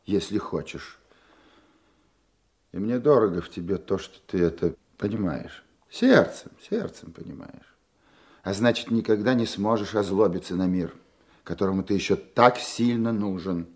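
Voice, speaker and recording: An actor playing a seasoned older police chief in a Russian radio drama, a fatherly mentor who speaks in a measured, earnest, reassuring way. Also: fairly low pitch, big pitch swings, a little mellow.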